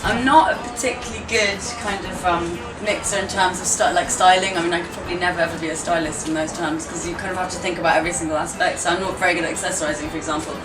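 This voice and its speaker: A woman's voice: on the low side, fairly even intonation, racing, hardly any pauses. Rambling young woman, roomy and distant. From Turkey. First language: Turkish. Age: 20s. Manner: rambling, hesitant, many fillers. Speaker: gallery owner. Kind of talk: casual interview talk. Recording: echoey room, mic at a distance, music behind.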